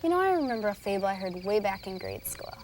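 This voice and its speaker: A friendly, chatty woman, played by an actress swapping fables in a children's video. Her medium-pitched voice sounds a little distant, with faint cricket noise behind it. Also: very animated, quick pace, close to an everyday voice. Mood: casual. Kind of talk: scripted children's video dialogue.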